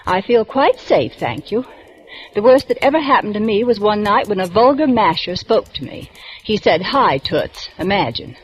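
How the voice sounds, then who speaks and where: Prim, proper librarian for an actress, middle pitch, precise and slightly indignant, 1940s radio style. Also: female voice, animated, normal speed, natural voice, rough recording. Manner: primly precise, a little indignant.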